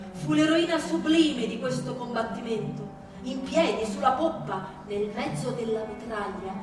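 Echoey, high-pitched woman reading dramatically. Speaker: stage reader. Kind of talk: theatrical dramatic reading with music concert. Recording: very echoey, distant, clearly noisy live recording.

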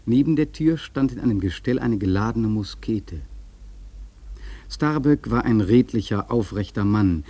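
Male narrator, scripted literary radio drama, mellow tone, husky, slightly low. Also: animated, a little slow, dry recording.

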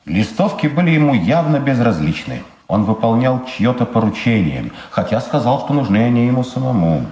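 An actor playing a proud, principled blind war veteran in a radio drama, measured, restrained and ironic. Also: male voice, medium pitch, big pitch swings, a little mellow, reverberant, lightly acted. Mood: guarded, matter-of-fact.

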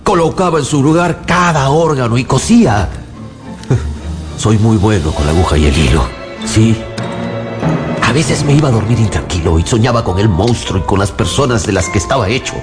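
An actor playing a haunted, feverish scientist in a radio drama, confessing in an overwrought, halting, theatrical way. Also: male voice, on the low side, expressive, close to an everyday voice. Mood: feverish, proud. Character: haunted, feverish male scientist confessing his monstrous creation. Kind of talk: Spanish-language radio drama acting. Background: dramatic music.

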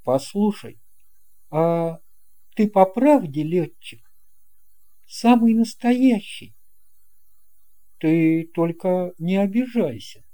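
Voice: medium pitch, animated, very slow, pauses often, mellow. A narrator voicing a curious, earnest young boy, a little cautious, in an audio fairy tale.

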